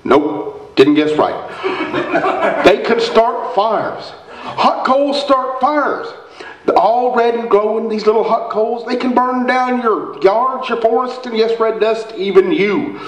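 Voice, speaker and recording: A man's voice: very high voice, takes it slowly. A man playing an exasperated small-town fire chief, scolding his town with folksy sarcasm in a radio comedy. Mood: sarcastic, worked up. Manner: folksy, sarcastic, rhetorical pleading. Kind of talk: live radio-play comedy reading. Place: indoor theater stage, standing microphone, far camera. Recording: echoey theater stage, distant microphone.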